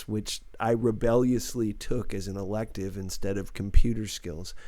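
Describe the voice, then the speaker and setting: A man's voice: on the low side, a little mellow, dry recording. A man reading a first-person novel aloud as a scripted audiobook narration.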